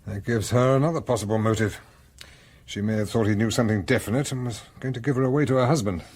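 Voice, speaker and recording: An actor playing a brisk, authoritative police colonel in a radio drama. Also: male voice, mid-range voice, darkish tone, breathy edge, lightly acted. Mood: businesslike. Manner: brisk, official. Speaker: actor in a detective radio play. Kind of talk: British radio drama acting.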